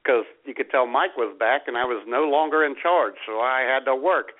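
An American man bantering casually as a talk show co-host, gravelly and wry. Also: mid-range voice, normal speed. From United States. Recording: thin phone-like radio audio.

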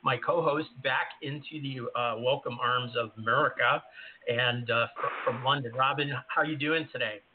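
A man's voice: medium pitch, fairly even intonation, normal speed. Man hosting over a tinny line. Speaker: podcast co-host. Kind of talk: casual live show hosting. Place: home office with yard work outside. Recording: tinny call-in audio with faint hiss.

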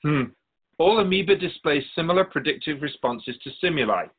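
A man playing a patient, all-knowing keeper of souls in a radio drama, explaining things in a measured, gently wry way. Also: on the high side, lively intonation, slightly rough, phone-quality sound. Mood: patient, explanatory. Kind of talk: scripted radio drama dialogue.

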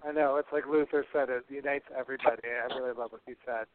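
A man's voice: mid-range voice, steady tone, fast talker, slight background noise. A man hosting a podcast, chatting casually and making announcements in a run-on, chatty way. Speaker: podcast host. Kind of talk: casual live show chat, announcements. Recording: narrow, tinny phone-like broadcast line.

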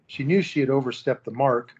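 Guy narrating an audio drama, husky voice, dull lo-fi sound. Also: mid-range voice, brisk. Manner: steady storytelling. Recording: dull lo-fi recording.

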